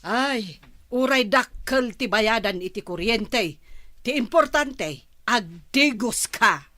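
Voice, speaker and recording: A woman's voice: a little high, animated, takes it slowly, pushed and bright, dry recording, heavily acted. A woman playing a practical, nagging village wife in an Ilocano audio drama, speaking with an Ilocano accent. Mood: nagging, exasperated. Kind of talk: Ilocano radio-style audio drama acting.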